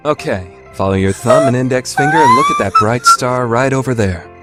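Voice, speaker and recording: A man's voice: mid-range voice, wildly swooping pitch, fairly quick, loud music behind the voice. A man playing an earnest teenage student council president who explains things with boyish enthusiasm, in an anime-style radio play. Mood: earnest, eager.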